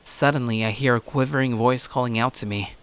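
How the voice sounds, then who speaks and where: A man's voice: medium pitch, fairly even intonation, brisk, a bit bright, smooth. A man narrating as host, reading a scripted first-person story and promotional copy.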